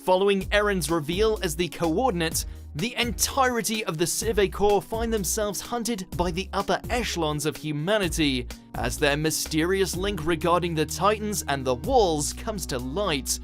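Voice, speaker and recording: A man's voice: high-pitched, moderate pace, faint music behind. A man reading scripted narration as the narrator of a ranked countdown video.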